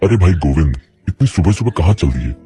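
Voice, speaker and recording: A man's voice: sits low, expressive, fairly quick, mellow, slightly rough, heavily acted. A man voicing a poor, worried village potter in a cartoon story. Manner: worried, plain-spoken. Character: poor struggling middle-aged male potter. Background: faint music.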